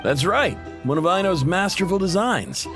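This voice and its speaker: A man's voice: high-pitched, animated, moderate pace. An actor playing a friendly, modest workman and family man in a video game, chatty, affable and self-deprecating. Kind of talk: video game character acting. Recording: music behind the voice.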